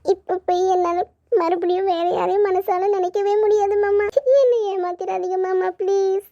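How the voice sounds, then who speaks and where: A woman's voice: extremely high pitch, level tone, normal speed, mellow. A woman playing a naive, lovelorn young daughter in a family melodrama, plaintive and girlish. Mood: tearful, pleading. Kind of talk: melodramatic family audio drama acting. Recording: dull lo-fi audio.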